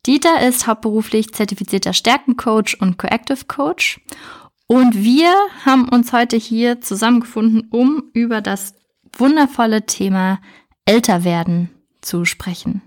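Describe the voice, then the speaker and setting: A woman's voice: fairly high pitch, a little slow. A woman in her early thirties hosting a podcast, chatting casually and laughing often as she asks reflective questions. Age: 30s. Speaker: podcast host.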